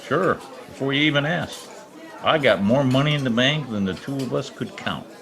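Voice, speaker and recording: A man's voice: mid-range voice, expressive, normal speed, vocal fry, a bit bright. A man playing a wry, wisecracking private detective who poses as a lonely oilman, in old radio drama style. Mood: flirtatious, joking. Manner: wry, quipping. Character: wisecracking male private eye posing as lonely Oklahoma oilman. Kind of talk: 1940s radio detective acting, first-person narration. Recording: remote video-call recording with music.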